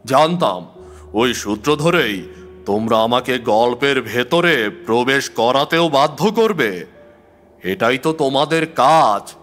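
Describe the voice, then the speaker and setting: Weary older male mystic voiced by an actor, unhurried, philosophical horror-story monologue over music. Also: medium pitch, animated, fairly bright tone. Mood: reflective.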